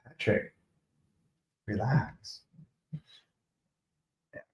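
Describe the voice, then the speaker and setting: A man's voice: mid-range voice, wildly swooping pitch, drawn-out words, echoey room. A man from Canada hosting a live hockey stream, commenting on the game and answering viewers in a chatty, defensive way. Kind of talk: casual live commentary, replying to chat.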